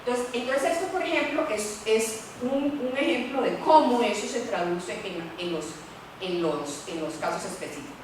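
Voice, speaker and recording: Animated woman lawyer, roomy echo. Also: a little high, moderate pace, a little hiss or hum. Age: middle-aged. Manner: animated, candid, many "¿verdad?" tags. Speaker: university lawyer. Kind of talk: panel talk. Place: lecture room. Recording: echoey room, voice from far off.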